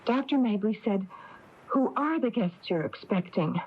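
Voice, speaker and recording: Headstrong small-town wife, muffled old radio. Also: female voice, mid-range voice, expressive, measured pace, husky. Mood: gossipy, exasperated. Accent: American. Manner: emphatic, insistent. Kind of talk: 1980s American radio drama acting. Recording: dull lo-fi AM radio tape.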